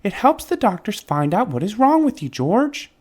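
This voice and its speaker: A man playing a high-pitched, kindly young nurse. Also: very animated, moderate pace, darkish tone. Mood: kindly, reassuring. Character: cheerful, soothing young woman nurse.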